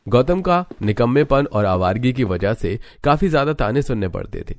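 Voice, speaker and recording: A man's voice: medium pitch, sing-song, normal speed, dry recording, studio quality. A man giving scripted, dramatic narration for a cartoon story, as its narrator.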